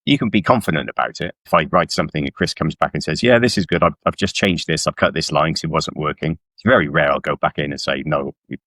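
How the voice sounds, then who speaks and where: A man with a very low, deep voice talks in a relaxed interview style as a guest author. He is informal and modest about himself, and the sound is close and dry. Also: quick pace, few pauses, dry recording. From South Wales. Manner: self-deprecating, informal. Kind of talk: casual interview chat about work.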